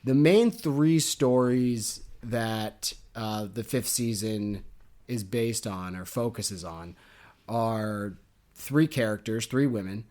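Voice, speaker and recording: A man's voice: on the low side, takes it slowly. A young man co-hosting a book podcast, chatting casually and jokily, laughing often. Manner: jokey and animated, laughs often. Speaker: podcast co-host.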